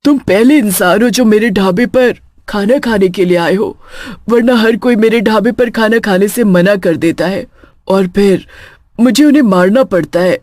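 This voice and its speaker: A woman's voice: medium pitch, moderate pace, a little mellow, no echo, clean studio sound, natural voice. A woman voicing a lonely female witch who runs a small food stall, coaxing yet menacing, in a cartoon horror story. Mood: wistful.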